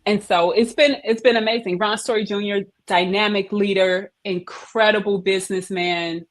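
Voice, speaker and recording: A middle-aged woman, a guest on a video-call interview, telling her career story in a lively, emphatic way. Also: mid-range voice, moderate pace. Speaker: head of operations, interview guest. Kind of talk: casual interview conversation.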